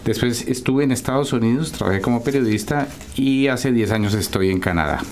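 A middle-aged Colombian man answering as a guest in a radio interview, halting and correcting himself as he talks. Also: medium pitch, a little mellow, a little hiss or hum. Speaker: guest, writer and journalist.

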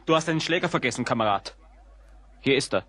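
Proud resentful rival, radio play, curt, challenging, bright forceful tone, lively intonation. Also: male voice, medium pitch, fast, no echo. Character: proud, resentful Spanish man, beaten rival demanding revenge. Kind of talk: German radio play acting.

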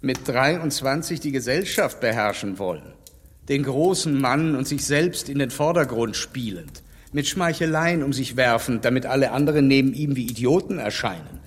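An actor playing a stern, blunt gentleman who lectures scornfully, in a radio drama. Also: mid-range voice, expressive, moderate pace, husky, big-room sound. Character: stern upright gentleman, blunt moral scold.

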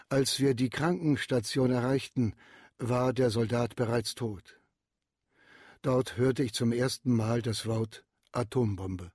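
A man in a German radio drama playing a survivor who narrates his memories, in a husky voice. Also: medium pitch, a little mellow, dry recording, clean studio sound.

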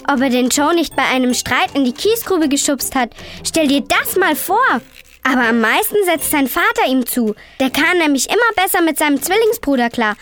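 A woman's voice: sits high, fairly bright tone. A woman playing a sassy, eager young girl on a scripted children's radio show. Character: bossy, chatty young girl recommending books.

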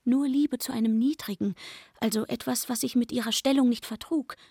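An actress playing an aggrieved young barmaid in a literary radio drama, speaking in deliberate, drawn-out phrases and bitter about her rival. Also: fairly high pitch, steady tone, a little mellow, husky, no room sound. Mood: insistent, resentful. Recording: studio voice over prominent music. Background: music.

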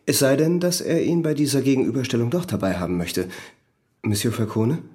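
A composed examining magistrate , played by a man, speaks at a medium pitch. He is courteous yet in full command, in the manner of a radio drama. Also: lively intonation, a little fast, a little mellow, close to an everyday voice. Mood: composed, authoritative.

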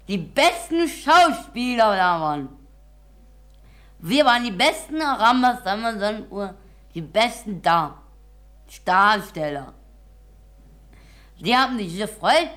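A woman's voice: mid-range voice, big pitch swings, unhurried, long pauses, fairly bright tone. A woman, a theatre actor, recalling performances in interview talk. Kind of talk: recollecting in interview.

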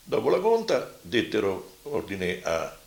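Uomo anziano romano, voce profonda e graffiata. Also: male voice, lowish voice, wildly swooping pitch, gravelly, darkish tone, echoey room. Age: 80s. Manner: vivid storytelling, halting repetitions. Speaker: survivor giving testimony. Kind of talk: spoken reminiscence.

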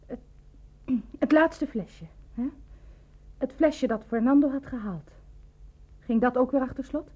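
An actress in a Dutch radio play, playing a shrewd, insinuating woman who questions a suspect in a husky, controlled and pointed way. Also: high voice, measured pace, pauses often, darkish tone. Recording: dull, lo-fi radio play recording.

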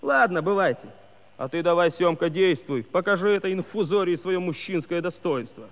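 An actor playing a cocky, teasing young Cossack village lad who talks with mocking, pompous airs in a theatrical comedy style. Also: male voice, sits high, animated, moderate pace. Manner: mocking, pompous mock-elegant talk. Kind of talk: theatrical musical comedy acting. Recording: dull old recording, long reverb.

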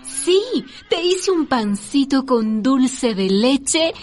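A woman's voice: sits high, animated, very slow, a little mellow, slight background noise, full character voice. A woman playing a nurturing, protective mother in a radio drama, with an earnest manner. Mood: tender. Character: tender, protective young mother.

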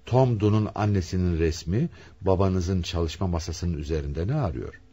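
An actor playing a shrewd, probing police commissioner in a radio play, questioning suspects in a deliberate, theatrical manner. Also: male voice, low-pitched, warm tone, husky, highs cut off. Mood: probing, intrigued.

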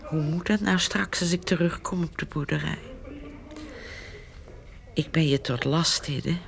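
An actress playing a weary, stubborn old farm mother in a radio play, halting and often breathy. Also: sits low. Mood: weary, fond. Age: older. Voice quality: creaky, often breathy, near-whispering. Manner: slow, halting, insistent. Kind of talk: Dutch radio drama acting.

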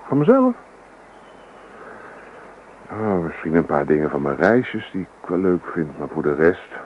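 Casting: carefree young boyfriend in radio drama, teasing, lively swings in pitch, muffled old recording. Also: male voice, unhurried, a little hiss or hum. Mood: light, amused. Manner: teasing, nonchalant, coaxing. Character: teasing, carefree young man courting his girlfriend. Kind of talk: Dutch radio drama dialogue.

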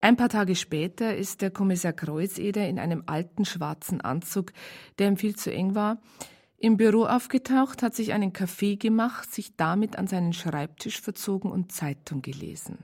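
An actress playing a wry, down-to-earth storyteller in a radio crime drama, narrating colloquially in a husky, creaky voice with a Bavarian accent. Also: female voice, mid-range voice, normal speed, darkish tone, lightly acted. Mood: dry, amused.

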